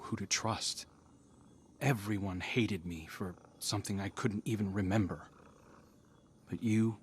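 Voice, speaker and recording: Casting: actor as humbled game hero, male, low voice, slow and sincere, faint noise behind. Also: lightly acted. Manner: sincere, reflective, unhurried. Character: earnest, humbled male outcast hero finding his path.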